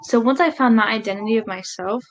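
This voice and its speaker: A woman voicing a live sign language interpretation of a speech, halting, with pauses and self-corrections. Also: on the high side.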